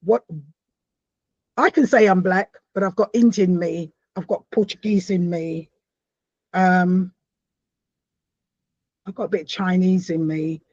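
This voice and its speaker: A woman from South America hosting a podcast interview, talking in halting, repeated starts with animated bursts. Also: lowish voice, no room sound, lo-fi sound. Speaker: podcast host. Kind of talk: conversational interview.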